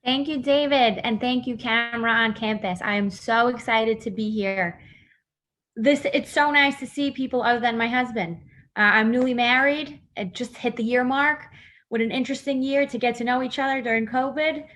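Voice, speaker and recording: A young woman speaks in a fairly high voice. She gives a prepared, earnest talk about her family's history as a guest speaker. The sound comes through a video call. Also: moderate pace, fairly bright tone. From Brooklyn, New York. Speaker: guest speaker, Israel education organization staffer.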